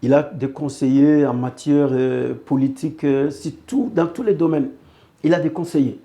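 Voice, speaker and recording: A man's voice: a little high, moderate pace, warm tone. A man from Congo speaking earnestly in a political interview, pressing his points by repeating phrases. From Congo (DRC). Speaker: interview guest.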